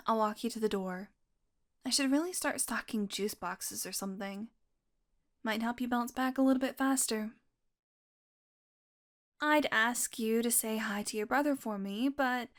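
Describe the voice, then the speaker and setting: A woman's voice: a little high, natural voice. A woman playing a sardonic, flirty female vampire in an intimate audio roleplay, talking casually and teasingly.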